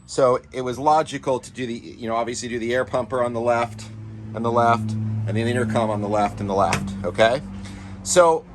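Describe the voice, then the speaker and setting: A man's voice: mid-range voice, background noise. A man with a mid-range voice walks viewers through a hands-on installation. He talks informally and explains things step by step, like the host of a DIY channel. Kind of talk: casual hands-on install tutorial.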